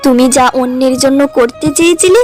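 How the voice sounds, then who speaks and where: A woman's voice: sits high, a little fast, soft background music, lightly acted. A woman voicing a stern, scolding older mother-in-law in a cartoon drama. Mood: disgusted. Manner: scolding, reproachful. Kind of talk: cartoon dialogue.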